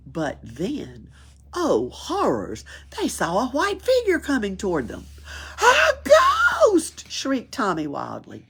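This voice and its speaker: A woman with a husky voice reads a children's story aloud in a dramatic, emphatic way. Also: on the low side. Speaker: library story reader. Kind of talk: expressive storybook read-aloud.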